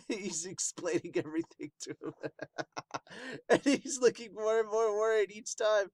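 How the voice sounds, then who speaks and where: A man's voice: sits high, measured pace, darkish tone, dry recording, clean studio sound. A man hosting a podcast, reading a manga aloud and giving casual, off-the-cuff reactions. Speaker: podcast host and reviewer. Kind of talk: manga dub read-through with casual reactions.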